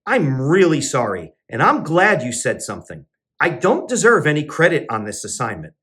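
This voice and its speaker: A man's voice: a little high, huge pitch swings, moderate pace, fairly bright tone. A man voicing Jake, a boy cake who is the narrator's busy best friend, apologizing sheepishly. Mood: apologetic.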